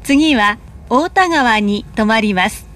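A woman reading scripted train announcements in a polite, measured, carefully enunciated way. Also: on the high side, animated, fairly bright tone. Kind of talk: recorded public announcement, scripted.

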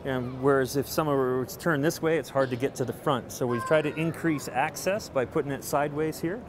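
A man's voice: medium pitch, brisk. A man in his forties giving a casual, informal product walkthrough as a company representative, sometimes hesitating mid-phrase. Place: large indoor convention hall booth. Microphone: handheld interview microphone held by interviewer. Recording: noisy busy trade show floor.

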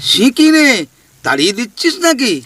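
An actor playing a mischievous male ghost in an audio drama, mocking and bossy in a cheeky drawn-out way. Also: extremely high pitch, sing-song, pushed and bright, heavily acted. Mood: teasing.

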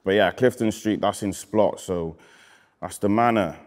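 A man in his thirties from Wales with a Cardiff accent, a rapper casually reading out comments in a relaxed, slangy way that often trails off quietly. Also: fairly low pitch, unhurried, a little hiss or hum. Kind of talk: casual chat. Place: indoor studio with graffiti wall.

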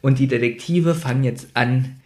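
A man's voice: medium pitch, moderate pace, darkish tone. A man talking informally as a podcast co-host, retelling and commenting on a story. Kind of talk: casual chat, retelling and critiquing a story.

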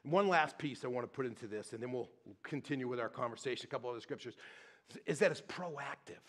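A middle-aged man preaching a sermon on a church stage, talking in a loose, animated way with many restarts and tag questions. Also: mid-range voice, fast. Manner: conversational, animated, frequent restarts and "right?". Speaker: pastor.

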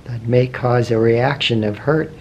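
Male meditation teacher, mid-pitched, slow and gentle, faint background hiss. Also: steady tone. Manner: slow, soft, gentle questioning with long pauses. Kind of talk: guided meditation.

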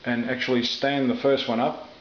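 A man's voice: medium pitch, level tone. Middle-aged guy talking through his diy tiling, lots of ums, echoey kitchen, kinda dull camera sound. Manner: halting, with many fillers and restarts. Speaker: home renovator. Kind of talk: casual how-to commentary while working. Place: kitchen under renovation, indoors. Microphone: camera mic, off-camera speaker. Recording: roomy, dull camera audio with faint noise.

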